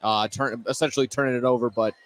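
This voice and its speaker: A man's voice: medium pitch, fairly even intonation, fast. A man giving casual color commentary and analysis during a live basketball broadcast. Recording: noisy live gym broadcast.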